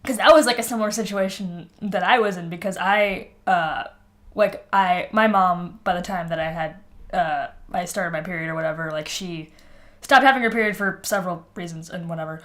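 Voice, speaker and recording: A woman's voice: lowish voice, moderate pace, a bit bright. A woman co-hosting a podcast in casual comedic banter, blunt and sardonic and often giggly. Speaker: podcast co-host.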